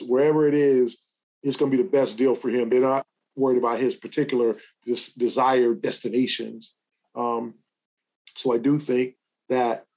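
A man's voice: medium pitch, darkish tone. A man co-hosting a sports podcast, giving casual commentary and thinking aloud as he talks, often restarting and hedging. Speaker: podcast co-host.

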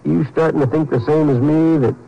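Plain-spoken American sheriff. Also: male voice, a little high, steady tone, brisk, gravelly, muffled recording. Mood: suspicious. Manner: plain, folksy, direct. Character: suspicious, plain-spoken small-town western sheriff. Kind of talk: 1950s western radio drama acting. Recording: old 1950s radio broadcast.

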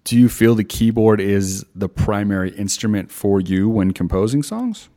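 A man hosting an interview, asking measured, prepared questions. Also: fairly low pitch, expressive, dark tone. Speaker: interviewer host.

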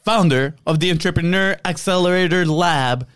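Smooth, higher-pitched male co-host. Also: normal speed, fairly bright tone. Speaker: podcast co-host. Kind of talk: upbeat show introduction and banter.

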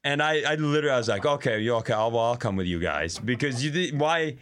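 A man's voice: mid-range voice, expressive, fast, fairly bright tone. An American man co-hosting a comedy podcast, telling a story casually in a self-deprecating, stop-and-start way.

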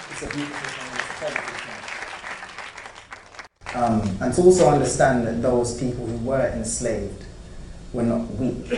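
A man, an actor being interviewed, speaking in an earnest, deliberate and emphatic way. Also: fairly low pitch. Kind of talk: press junket interview. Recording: echoey room, distant mic, noisy.

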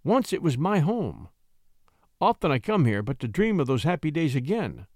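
A sly, charming young French lady coaxes and pleads in a high, lilting voice that leaps all over, played by a man reading aloud. The sound is close and dry. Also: big pitch swings, moderate pace, a little mellow, dry recording. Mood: coaxing.

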